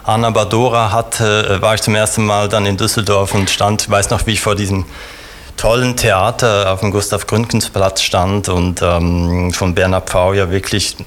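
Theater director, middle-aged man, low-voiced and reflective, halting guest answers. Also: flat delivery, fairly bright tone. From Switzerland. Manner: thoughtful, many hesitations and restarts. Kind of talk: conversational interview answers.

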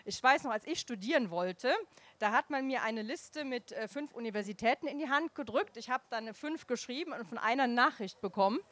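Frank woman guest, high-ish voice. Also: expressive, a little fast, fairly bright tone. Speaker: guest diplomat. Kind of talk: stage conversation.

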